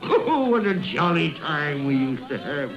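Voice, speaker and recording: A muffled radio-drama recording with music underneath carries an old miser. He swings widely in pitch and takes his time, stammering and repeating himself in a quavering, fearful, regretful way. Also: big pitch swings, a little slow. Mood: delighted. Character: frightened, remorseful old miser revisiting his youth.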